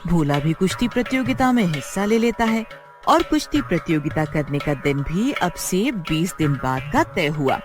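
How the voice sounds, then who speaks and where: A woman storyteller reads a scripted tale. Her delivery is very animated, moving up and down in pitch, with music clearly behind her. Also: moderate pace.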